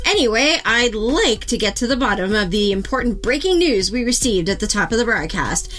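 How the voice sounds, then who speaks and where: A woman's voice: on the high side, sing-song, fairly quick, fairly bright tone, faint music behind. A woman playing a sensible, exasperated co-anchor in a comedy newscast, with a pointed, dry delivery. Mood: composed.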